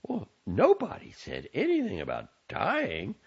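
A nagging, fretful middle-aged mother with a raised, swooping voice, as a male reader puts her on. She scolds in a husky, slightly gravelly tone that leaps up high. The recording sounds dull and lo-fi. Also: huge pitch swings, unhurried, heavily acted. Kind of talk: audiobook character voice.

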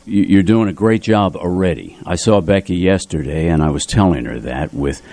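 A man hosting a casual radio studio conversation, speaking in a hesitant, halting way close to the microphone. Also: sits low, dark tone, dry recording. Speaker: radio show host.

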